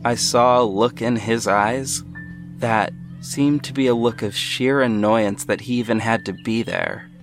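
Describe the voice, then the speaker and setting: A man tells a story in the first person as an audio drama narrator. His delivery is scripted and reflective, at a medium pitch, with music clearly playing behind him. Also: a little slow. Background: music under the voice.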